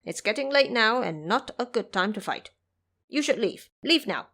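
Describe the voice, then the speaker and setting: A woman voicing a cunning dark male monster king who talks with courtly politeness, in a storybook reading. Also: fairly high pitch, animated, normal speed. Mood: commanding.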